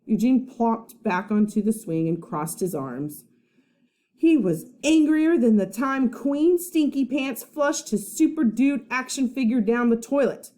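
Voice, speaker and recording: Animated woman reading a children's story. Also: a little high. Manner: expressive, pauses to ask listeners questions. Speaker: first grade teacher. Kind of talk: children's story read-aloud.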